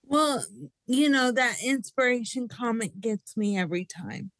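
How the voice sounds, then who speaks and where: A woman's voice: fairly high pitch, expressive, measured pace, a bit bright, dry recording. A woman hosting a radio interview, affirming her guest and asking open questions.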